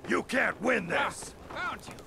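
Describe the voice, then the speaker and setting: An actor playing a menacing, bloodthirsty bandit in a video game, loudly barking combat taunts. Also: male voice, very high voice, big pitch swings, unhurried, vocal fry, exaggerated voice. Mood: menacing taunting. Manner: loud, taunting. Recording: game audio with loud combat noise.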